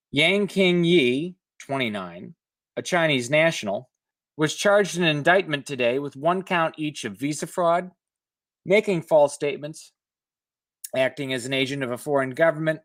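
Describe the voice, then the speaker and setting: A man reads and comments on news stories in an informal, measured way. His voice sits a little higher than average. The recording is dry, with almost no room sound. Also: dry recording.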